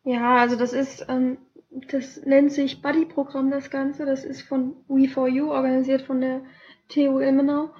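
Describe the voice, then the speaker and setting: A woman's voice: sits high, level tone, moderate pace, a little mellow. A young woman co-hosting a casual podcast, chatty and cheerful, with plenty of fillers. German is her first language. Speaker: podcast co-host. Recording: dull lo-fi home podcast recording.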